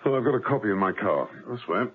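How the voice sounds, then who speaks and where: An actor playing a suave, calm male secret agent with a wry manner in a 1970s radio drama, on tinny old radio tape. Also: lowish voice, fast talker, over loud music, lightly acted.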